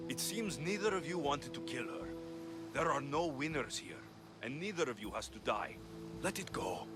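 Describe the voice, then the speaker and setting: An actor playing a gruff young Greek mercenary hero, blunt and earnest, in a video game cutscene. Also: male voice, mid-range voice, lively intonation, normal speed, lightly acted. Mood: calm, reasoning. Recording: music behind the dialogue.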